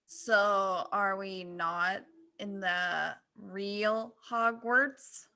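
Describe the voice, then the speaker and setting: A woman's voice: mid-range voice, fairly even intonation, extremely slow, bright, forceful tone, full character voice. A woman voicing an agreeable teenage goth girl in a comic fan-fiction reading. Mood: puzzled.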